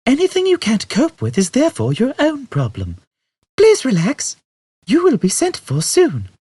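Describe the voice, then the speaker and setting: Guy doing a bright patient space lady for a storybook, voice pitched way up, breathy, firm. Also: huge pitch swings, moderate pace, no echo, exaggerated voice. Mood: calm, announcing.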